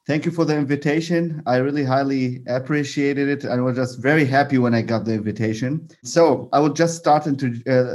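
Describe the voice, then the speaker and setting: A man from Iraq, a radiologist, answering interview questions in a friendly, slightly halting way and sometimes repeating words. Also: mid-range voice, darkish tone. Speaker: guest, radiologist.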